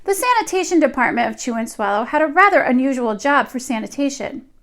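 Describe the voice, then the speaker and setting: Woman, high voice, teacher-like, children's storytime read-aloud, expressive, deliberate phrasing. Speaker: teacher reading stories to young children.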